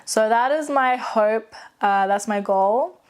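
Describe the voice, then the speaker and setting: A woman's voice: on the high side, slow pace, background noise. A woman in her late twenties from South Africa, whose South African accent carries British and American traces, talking candidly in a casual personal vlog with frequent fillers. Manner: chatty and candid, with frequent fillers and self-corrections. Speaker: vlogger and aspiring novelist.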